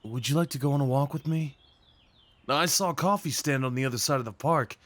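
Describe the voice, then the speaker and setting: Male actor as jittery, kind-hearted young date for ASMR roleplay; hesitant, self-effacing, mid-pitched voice. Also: brisk, fairly bright tone, dry recording, natural voice. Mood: shy, nervous. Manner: hesitant, apologetic, rambling, sighs and nervous chuckles. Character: nervous, sweet young man on a first date. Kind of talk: ASMR roleplay acting addressed to the listener.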